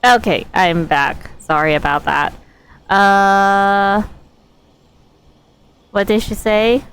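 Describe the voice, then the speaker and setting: A woman game streamer giving casual live commentary, thinking aloud in a halting way. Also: medium pitch, steady tone, a bit bright.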